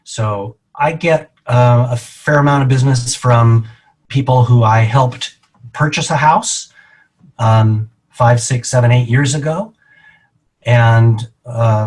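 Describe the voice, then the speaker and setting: Middle aged guy getting interviewed, explains stuff carefully and deliberately, regular mid pitch voice. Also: fairly even intonation, darkish tone, poor audio. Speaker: real estate agent being interviewed.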